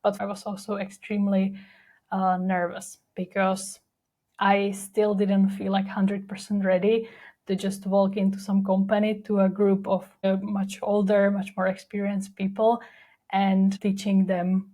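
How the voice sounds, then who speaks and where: A young woman with a Czech accent talks through her own story as a podcast host. Her pitch sits mid-range and stays level, with little rise or fall. She sounds candid and reflective, leaning on fillers as she goes. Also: completely flat delivery, unhurried, warm tone. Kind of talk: personal storytelling monologue.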